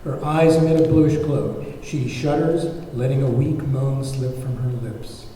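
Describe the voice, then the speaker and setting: A man's voice: medium pitch, level tone, a little slow, mellow. A man reading screenplay stage directions as narrator at a table read. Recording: very echoey hall, distant mic, faint noise.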